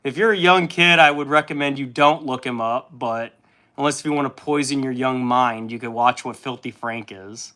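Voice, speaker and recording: A young man hosting a casual livestream while painting miniatures, chatty and at times loud and impassioned. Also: medium pitch, normal speed.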